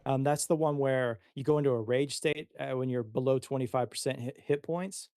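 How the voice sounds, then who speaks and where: A man's voice: mid-range voice, fairly even intonation, brisk, darkish tone, clear, resonant tone, dry recording. Tentative man chatting, dry close sound. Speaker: player creating a character. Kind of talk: casual chat.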